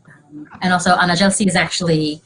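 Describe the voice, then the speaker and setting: A woman's voice: lowish voice, steady tone, quick pace, poor audio. A young woman from Venezuela casually introducing herself as a panel guest on a video call from a room at home. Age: young adult. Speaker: panel guest, organization founder. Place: small room at home, indoors. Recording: clear background noise, room ambience.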